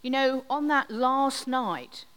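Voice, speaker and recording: Slow gentle woman preaching in a reverberant hall. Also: high voice, lively intonation, clear, resonant tone. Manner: slow, gentle, reflective delivery with pauses. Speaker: preacher leading communion. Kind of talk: sermon.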